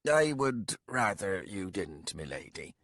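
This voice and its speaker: A man voicing an old, bent castellan who speaks low, steady and patient. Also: a little slow, dry recording, clean studio sound, exaggerated voice. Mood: dry.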